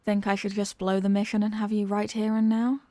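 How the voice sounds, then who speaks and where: A woman playing a seductive, dominant villain in an intimate ASMR roleplay, teasing and sultry, often slipping toward breathy whispers. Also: mid-range voice, flat delivery, a little fast, warm tone, no echo, lightly acted. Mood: confident, teasing. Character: seductive, dominant female villain toying with her hostage. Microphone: close microphone in a dry space.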